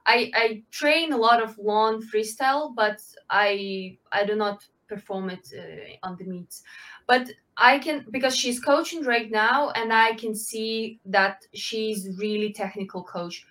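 Woman in her 20s, talks slow, lots of ums and restarts, being interviewed. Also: on the high side, fairly bright tone. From Ukraine. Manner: halting, with frequent fillers and restarts, non-native phrasing. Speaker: guest, Olympic swimmer. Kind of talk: casual interview answers.

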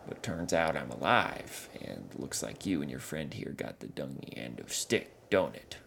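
A man's voice: a bass voice, lively intonation, normal speed, vocal fry, a little hiss or hum, full character voice. A man doing a cheerful, tough troll king. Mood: cheerful, menacing. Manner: loud, cheery, wry.

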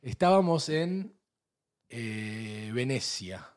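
A young man with an Argentine accent talks loosely with a friend. He tells stories with lively energy. When he quotes someone, he jumps up into a high, put-on voice. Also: extremely slow. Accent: Argentine Spanish. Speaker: podcast co-host. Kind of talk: casual chat.

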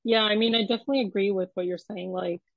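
A woman's voice: medium pitch, fairly even intonation, fast talker, no echo. Curious young woman host, dry dull mic. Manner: affirming, curious, casual fillers. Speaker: podcast host. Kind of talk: conversational interview.

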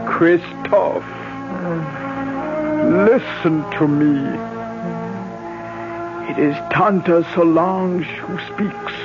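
Solemn, vengeful older sorceress, old radio. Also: female voice, low voice. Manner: solemn, incantatory, ominous. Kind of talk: old radio drama acting. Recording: dull old radio recording with music.

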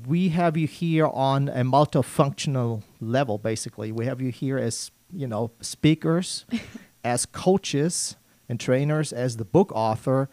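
A man's voice: mid-range voice, a little slow, darkish tone, no echo. Upbeat German-accented radio host man. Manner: upbeat, chatty, laughs often. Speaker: radio talk show host. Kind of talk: live business radio talk. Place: radio studio.

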